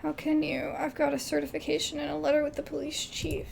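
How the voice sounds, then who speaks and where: A woman hosting a casual gaming video, reading game dialogue aloud haltingly and adding her own asides. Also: sits high, monotone, gravelly, a crowd in the background.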